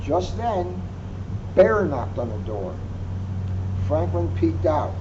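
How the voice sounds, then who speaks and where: A police officer reading a children's picture book aloud, adding wry asides. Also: male voice, on the high side, sing-song, slow pace. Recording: muffled lo-fi recording with faint hum.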